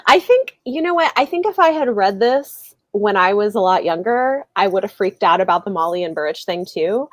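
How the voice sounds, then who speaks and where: A woman hosts a relaxed online book discussion in an everyday mid-pitched voice. Her delivery is informal and animated, halting as she works out her opinions. The sound is dry, with very little room. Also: dry recording. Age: adult, married with children. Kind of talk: casual book chat on a livestream.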